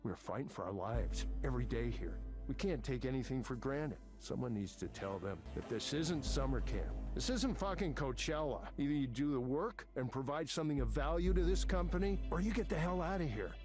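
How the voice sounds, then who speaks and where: A man's voice: mid-range voice, animated, brisk, lightly acted. A man playing an angry, middle-aged veteran tech manager in a visual novel game, combative, sarcastic and foul-mouthed. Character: angry veteran male tech manager, arrogant, bitter, ranting. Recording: game audio with music behind. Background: music.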